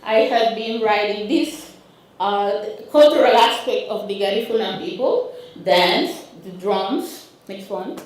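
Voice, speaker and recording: Honduran spanish accent woman giving a slow talk, lots of uh and restarts, very echoey hall. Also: medium pitch. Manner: halting, frequent restarts and fillers. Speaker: conference speaker. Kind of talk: conference talk with slides. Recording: roomy hall, speaker far from mic.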